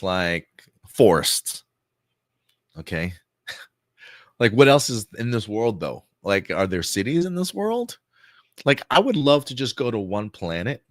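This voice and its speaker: A man hosting a live pop-culture news stream, talking casually with loose fillers and repeats, his voice a bit hoarse. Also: lowish voice, dry recording, studio quality. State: voice getting hoarse.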